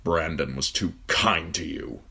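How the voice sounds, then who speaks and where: Stern honorable lord, blunt and earnest, quick to flare up, read by a male narrator, audiobook. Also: very deep voice, creaky, sharp tone, slightly rough, exaggerated voice.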